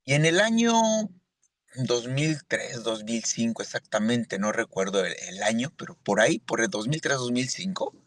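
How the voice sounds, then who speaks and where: A man's voice: mid-range voice, animated, moderate pace, fairly bright tone, dry recording. A man from Mexico giving an earnest church sermon as a lay preacher, often asking "¿verdad?" to his listeners. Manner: earnest, with frequent rhetorical "¿verdad?".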